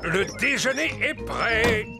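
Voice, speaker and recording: Cartoon grandpa pig: high for a man, creaky, unhurried, hearty, pleased with himself. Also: expressive, over music, heavily acted. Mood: jolly. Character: jolly, resourceful grandpa pig. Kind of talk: children's cartoon dubbing.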